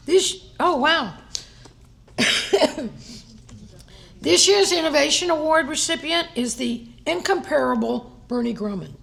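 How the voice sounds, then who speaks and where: A woman giving an award presentation at a gala, hoarse and losing her voice, often clearing her throat. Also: high-pitched, animated, slow, pauses often, fairly bright tone. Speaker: society vice president presenting an award. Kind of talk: award ceremony speech.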